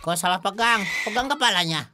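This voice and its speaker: A man voicing a hardworking poor peasant in a cartoon dub, loud and indignant when he argues. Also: sits high, animated, fairly quick. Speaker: brother. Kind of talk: animated cartoon dubbing. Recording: noisy dubbed track.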